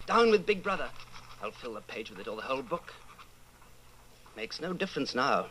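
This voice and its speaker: A troubled middle-aged man speaks his thoughts in a medium-pitched voice. He is halting, intense and fearful, in old-time radio drama style. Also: sing-song, a bit bright, slight background noise, low-quality recording. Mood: fatalistic, defiant. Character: brooding, fearful 39-year-old man, secret rebel.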